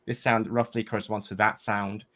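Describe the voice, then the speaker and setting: Podcast guest: Cantonese-native man, lowish voice, thinks aloud, lots of restarts. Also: flat delivery, normal speed, fairly bright tone. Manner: thoughtful, hesitant with frequent fillers and restarts. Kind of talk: casual conversation.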